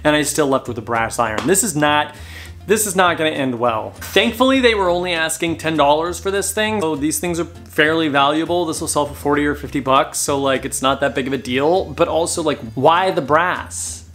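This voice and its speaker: A man in his thirties filming a casual haul vlog in a cluttered storage room, talking straight to the camera in an animated, self-mocking way. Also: on the high side, fairly quick, faint music behind. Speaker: reseller vlogger.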